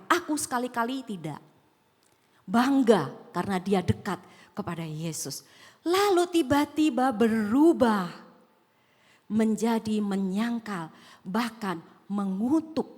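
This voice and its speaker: A woman pastor from Sumatra with a slight Javanese accent, preaching a sermon in a conversational way and asking the congregation questions. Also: fairly high pitch. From Sumatra, Indonesia. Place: large auditorium. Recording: echoing hall.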